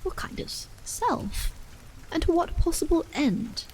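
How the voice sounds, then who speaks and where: A woman voicing a troubled, earnest, introspective man in a story, soft, solemn and hesitant. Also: extremely high pitch, measured pace, a little hiss or hum, voice pushed up, full character voice, poor audio. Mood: solemn, searching. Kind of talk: audiobook character dialogue. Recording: steady rain sound over the voice.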